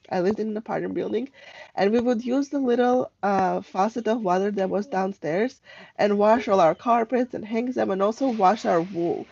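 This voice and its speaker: Casting: woman livestream host, soft mellow tone, unscripted rambling chat, slightly dull home audio. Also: medium pitch. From Armenia. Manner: halting, rambling, conversational. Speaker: live stream host, travel tips. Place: at home, indoors.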